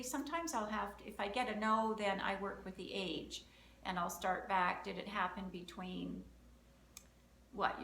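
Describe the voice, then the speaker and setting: A woman's voice: mid-range voice, fairly bright tone. A woman acting as a practitioner and host, walking through an energy-healing session aloud and counting through options in a chatty, upbeat way. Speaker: emotion code practitioner and host. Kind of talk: live energy-healing demonstration, talking through steps. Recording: roomy, slight background noise.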